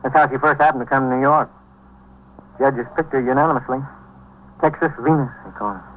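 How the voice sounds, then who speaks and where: Casting: male actor as wry, gruff American police sergeant, clipped delivery, heavily muffled and noisy vintage radio. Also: mid-range voice, steady tone, brisk, lightly acted. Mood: gossipy. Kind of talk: 1950s radio drama acting.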